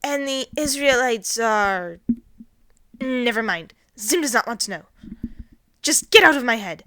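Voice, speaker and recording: A woman voicing a loud, arrogant male alien invader in a story, indignant and excitable, given to bombastic shouting. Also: on the high side, measured pace, dry recording, studio quality, voice pushed up. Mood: irritated, puzzled. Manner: shouting, bombastic, refers to himself in third person.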